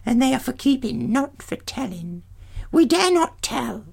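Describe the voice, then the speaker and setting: A woman voicing ancient grey hag sisters in a storybook reading, shrieking and squabbling in a high, thin voice. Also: big pitch swings, a little slow, gravelly, darkish tone, slightly rough, heavily acted. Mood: fearful. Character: shrill ancient crone sisters, squabbling and whining.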